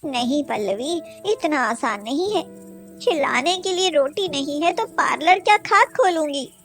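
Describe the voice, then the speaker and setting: A woman's voice: high-pitched, animated, a little slow, a bit bright, faint music behind, full character voice. A woman playing a poor, weary but proud mother of five in a cartoon story, with earnest, emotional delivery. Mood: sorrowful, resigned.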